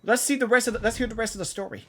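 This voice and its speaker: A woman hosting a game reaction video, chatting excitedly and rambling with restarts. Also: on the high side, rapid-fire, slight background noise. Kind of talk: casual reaction commentary.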